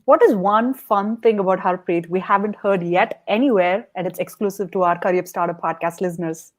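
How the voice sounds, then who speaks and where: A woman hosting a podcast interview at a mid pitch. She asks her prepared questions in an upbeat, friendly way. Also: a little fast. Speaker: podcast host.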